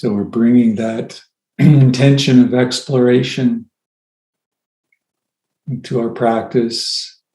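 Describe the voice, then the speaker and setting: A man leading a guided meditation as a teacher, with gentle, unhurried phrasing and long pauses. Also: mid-range voice, steady tone. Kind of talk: guided meditation, softly spoken instruction. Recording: roomy, echoey sound.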